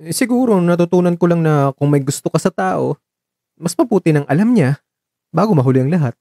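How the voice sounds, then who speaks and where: A man playing a caring, gently teasing young man in love in a romantic radio drama, speaking in an expressive, coaxing way. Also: a little high, brisk, dry recording, clean studio sound, voice pushed up. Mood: affectionate, earnest.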